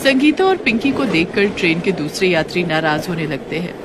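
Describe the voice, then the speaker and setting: A woman's voice: lowish voice, sing-song, fairly quick, background noise. A woman narrator reading a scripted, dramatic moral story.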